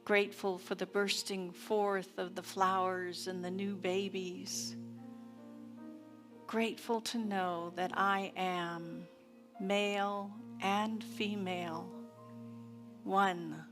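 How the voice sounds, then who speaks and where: A woman minister giving a sermon and guided prayer in a deliberate, reverent manner with long pauses. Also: mid-range voice, steady tone, over music. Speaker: minister leading a service. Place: church service.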